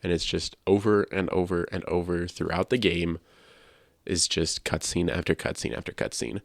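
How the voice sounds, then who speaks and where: A man co-hosting a casual video game discussion, offering criticism in a measured, qualified way close to the mic. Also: deep voice, steady tone, gravelly, no room sound. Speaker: podcast co-host.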